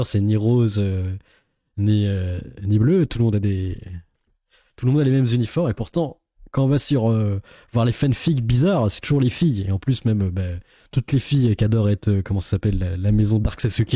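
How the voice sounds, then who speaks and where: A man's voice: fairly low pitch, warm tone, no room sound, studio quality. A man giving casual reaction commentary as a YouTuber, in a sarcastic, sceptical and rambling way.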